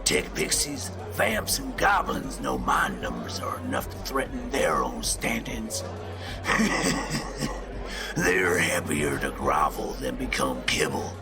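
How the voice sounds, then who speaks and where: A man's voice: a bass voice, very animated, slow pace, creaky, over music, heavily acted. A man voicing a scornful, menacing shifter pack leader who gloats and drops into hissed whispers. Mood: gloating. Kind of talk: audio drama acting.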